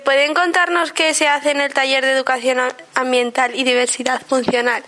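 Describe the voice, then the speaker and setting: A woman's voice: high voice, little pitch movement, a little fast, fairly bright tone. A woman hosting a radio interview, asking short, friendly questions.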